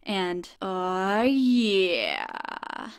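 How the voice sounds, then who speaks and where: A woman reviewing an old film with sarcastic, profane, deadpan humor. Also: mid-range voice, very slow, clean tone.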